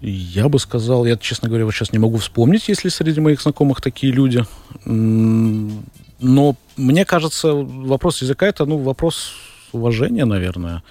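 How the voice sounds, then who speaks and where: A man from Latvia, a film director, answering interview questions thoughtfully, with frequent pauses and fillers. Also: medium pitch, animated, darkish tone, dry recording. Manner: thoughtful, hesitant, many fillers and restarts. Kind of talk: radio interview.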